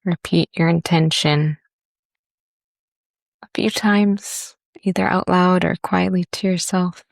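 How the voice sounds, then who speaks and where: A woman teaching a guided meditation and body scan in a gentle, unhurried manner with long pauses. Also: fairly low pitch, fairly even intonation, vocal fry, no room sound, clean studio sound.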